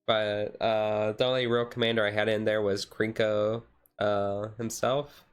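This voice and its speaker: A man streamer chatting casually in a relaxed, halting, rambling way, thinking aloud as he goes. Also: lowish voice, smooth. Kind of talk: relaxed casual chat about a card game, ASMR-style stream. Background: music playing softly behind the voice.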